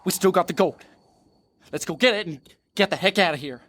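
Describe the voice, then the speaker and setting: A man playing a dim-witted, greedy bandit in an audio drama, excitable and brash, with a rural American accent. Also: high voice, brisk, slight background noise. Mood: greedy excitement. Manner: excitable, folksy.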